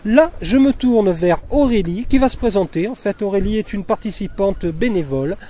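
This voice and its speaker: A man's voice: high-pitched, animated, moderate pace, mellow. A man from the south of France hosting a web magazine interview outdoors, chatty and hesitant with many fillers. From Fréjus, southern France. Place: outdoors at a beach cleanup.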